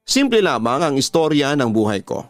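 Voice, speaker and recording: A man's voice: fairly high pitch, very animated, fairly quick, no echo. A man with a Filipino accent reading a listener's letter as scripted dramatic narration, as the host of a radio drama program. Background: background music.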